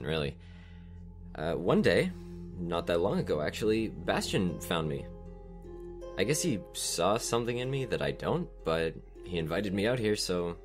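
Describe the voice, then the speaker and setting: Guy acting shy awkward young bard, deep voice, fantasy drama, guitar playing behind. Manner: hesitant, self-effacing, awkward. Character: shy, humble young male small-town musician. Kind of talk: in-character fantasy audio drama introduction. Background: plucked guitar music.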